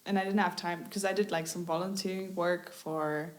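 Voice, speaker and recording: Woman chatting on a podcast, lowish voice, open and a bit giggly. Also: steady tone, moderate pace. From Germany. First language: German. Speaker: interview guest, musician. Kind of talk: casual podcast conversation.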